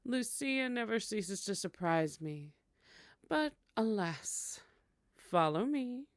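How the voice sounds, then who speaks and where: A woman's voice: mid-range voice, expressive, slow, no room sound, studio quality. A woman playing a teasing, courtly vampire hostess in a scripted ASMR roleplay, speaking in a coy, formal way. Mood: flirtatious.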